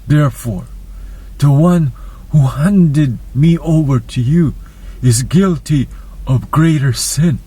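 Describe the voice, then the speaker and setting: Man reading as solemn, slow holy prisoner. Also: fairly high pitch, warm tone, husky, full character voice, low-quality recording.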